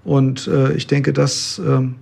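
A man in his fifties, a pastor, telling his story in an interview with relaxed hesitations. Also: mid-range voice, slow, gravelly. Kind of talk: interview conversation.

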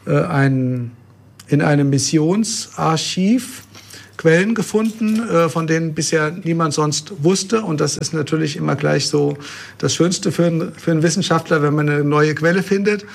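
A middle-aged man from Germany, a pastor, talking about his life in a relaxed interview with dry humor and plain explanations. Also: on the high side, normal speed, creaky. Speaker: pastor and guest. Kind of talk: casual interview talk.

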